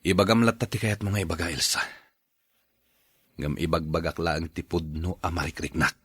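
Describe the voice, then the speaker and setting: A man with an Ilocano accent playing a dejected, dutiful son in an audio drama, in a husky voice. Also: sits low, fairly even intonation, moderate pace, fairly bright tone. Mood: apologetic. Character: dejected dutiful son forced into an unwanted marriage. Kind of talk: Ilocano radio drama acting.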